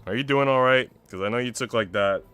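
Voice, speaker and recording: A man's voice: medium pitch, fast, fairly bright tone, smooth. A man livestreaming, talking in an informal, slangy, rambling way as he chats and reads a visual novel aloud. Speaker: streamer. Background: faint game music.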